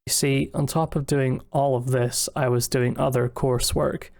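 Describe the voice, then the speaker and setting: A young man giving a scripted, reflective voice-over about his own work in a measured, candid way. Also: medium pitch, level tone, vocal fry, a little mellow. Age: young adult. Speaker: student filmmaker narrating own video. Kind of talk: scripted retrospective voice-over narration.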